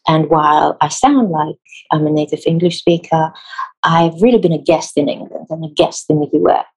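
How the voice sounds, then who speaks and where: A woman from Israel who works as a theatre designer answers interview questions in a thoughtful, measured way, pausing and correcting herself as she goes. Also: low-pitched, a little mellow, slightly rough, poor audio.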